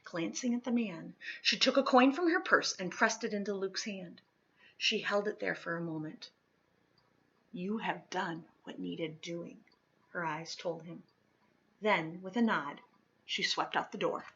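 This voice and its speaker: Bright-voiced woman reading a storybook aloud. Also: lowish voice, muffled recording. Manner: expressive, deliberate phrasing with dramatic pauses and emphasis. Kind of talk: dramatic children's picture-book read-aloud.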